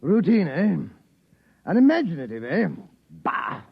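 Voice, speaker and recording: Irascible British military chief, haughty and clipped, animated pitch, muffled vintage radio drama. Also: male voice, a little slow, vocal fry, husky, no room sound, faint music behind, exaggerated voice. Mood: grudging. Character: irascible, pompous senior British military intelligence officer. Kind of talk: 1950s radio drama acting.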